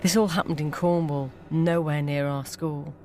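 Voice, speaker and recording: Low-voiced woman narrating a ghost story. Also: fairly even intonation, darkish tone. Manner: suspenseful, measured, acts out dialogue. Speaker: narrator reading a short story. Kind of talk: scripted dramatic first-person ghost story narration.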